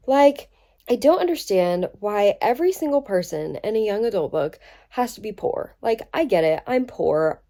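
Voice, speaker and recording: A woman's voice: mid-range voice, mellow. Congested young woman in a chatty vlog. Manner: chatty, exasperated, emphatic. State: sick with a cold, congested and coughing. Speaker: book vlogger. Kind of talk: casual reading vlog chat.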